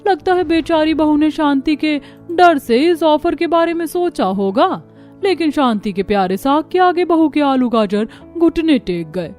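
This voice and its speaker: Woman as wry middle-aged neighbour in family cartoon, sympathetic gossip, highish pitch, soft music bed. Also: expressive, unhurried. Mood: musing, pitying. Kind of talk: Hindi cartoon story acting.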